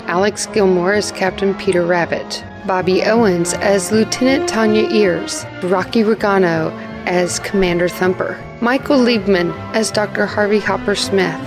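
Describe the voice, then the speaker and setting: A woman's voice: mid-range voice, fairly even intonation, moderate pace, music in the background. A woman announcer reading cast credits in a scripted style.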